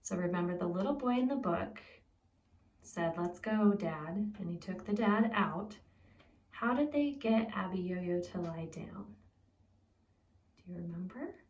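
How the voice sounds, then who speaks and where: A woman reads a picture book aloud as a preschool teacher, simply and expressively, pausing to put questions to young listeners. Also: on the low side, animated, darkish tone. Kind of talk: read-aloud storytelling with questions to young children. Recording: echoey room, distant from the mic.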